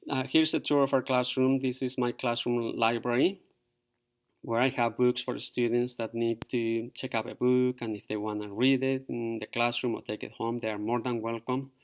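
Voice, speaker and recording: Middle-aged teacher, halting. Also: male voice, mid-range voice, fairly even intonation. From Peru. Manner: halting, many fillers. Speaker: fourth grade teacher. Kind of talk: virtual open house presentation to parents.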